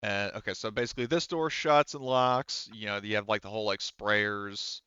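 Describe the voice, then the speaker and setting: A man with a low, smooth voice narrates a tabletop roleplaying game as game master. He explains things casually and haltingly, in a close, dry but slightly dull recording. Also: fairly even intonation, sharp tone, no echo.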